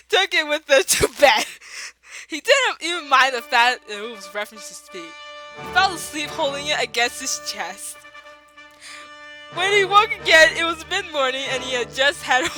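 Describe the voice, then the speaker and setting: A young woman with a slight lisp reads a story aloud over a voice call, high and bright, her voice leaping all over the place. She keeps cracking up and swearing as she goes. Faint classical music plays underneath. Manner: breaks into laughter, swears, fast excited delivery. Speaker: reader of a fan fiction. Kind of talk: giggly casual dramatic reading. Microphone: voice call microphone.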